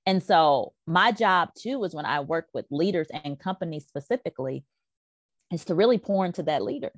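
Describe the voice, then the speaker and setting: A middle-aged woman with a lowish voice talks as a podcast guest about her coaching work. She is emphatic and lively, often putting on little voices when she quotes people. The sound is close and dry with no room echo. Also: moderate pace. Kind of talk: casual podcast conversation.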